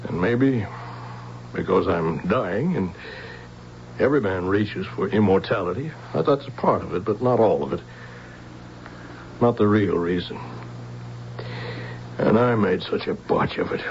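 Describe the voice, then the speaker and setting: An actor playing a gentle, regretful older scientist, fatherly and reflective, in a radio drama. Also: lowish voice, lively intonation, creaky. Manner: reflective, kindly, measured. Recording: dull lo-fi radio recording, faint hiss.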